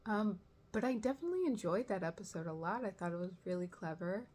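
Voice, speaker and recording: A woman giving casual reaction commentary as a show host, expressive and playfully dramatic, with a self-deprecating way of talking. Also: mid-range voice, quick pace.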